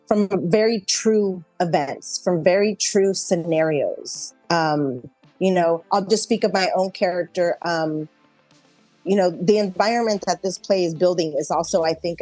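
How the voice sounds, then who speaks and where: A woman's voice: on the low side, dark tone, dry recording, poor audio. A woman with an American accent chatting casually as an interview guest, explaining things in a reflective way. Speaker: interview guest, actor and improv performer.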